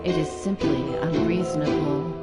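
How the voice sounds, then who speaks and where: A woman's voice: sits low, steady tone, normal speed, loud music behind the voice, voice pushed down, natural voice. A woman voicing a cold, disdainful, secretive male school doctor with a dry, condescending manner in a visual novel. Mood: cold, displeased.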